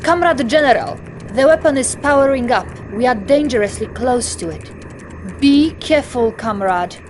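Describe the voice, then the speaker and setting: Russian lieutenant over clear noise. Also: female voice, sits high, animated, moderate pace. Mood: warning. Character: loyal, composed female Soviet military intelligence lieutenant. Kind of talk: game cutscene briefing.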